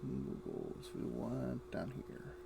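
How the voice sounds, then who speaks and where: A man's voice: medium pitch, huge pitch swings, slow pace, vocal fry, very noisy. A man casually thinking aloud in short, muttered fragments as he streams his gameplay. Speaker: game streamer.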